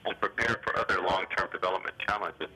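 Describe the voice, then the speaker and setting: A man giving formal, hesitant economic commentary in a phone interview, speaking in a measured, official way over a telephone. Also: deep voice, steady tone, slight background noise. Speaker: development bank official being interviewed. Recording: sharp, grainy telephone line.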